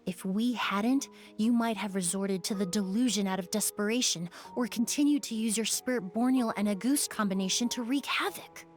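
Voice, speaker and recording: A woman's voice: mid-range voice, moderate pace, hardly any pauses, soft background music, lightly acted. An actress playing a composed, principled young woman perfumer in a video game, speaking in an earnest, articulate way. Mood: firm, regretful. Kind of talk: scripted video game acting.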